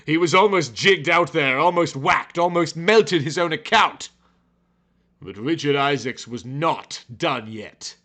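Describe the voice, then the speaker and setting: A man narrates a piece of fiction in a theatrical, emphatic style. His voice sits a little high for a man and has a bright, forceful edge. He gives the scripted prose heavy dramatic weight. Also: animated, a little slow. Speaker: narrator reading a fiction chapter.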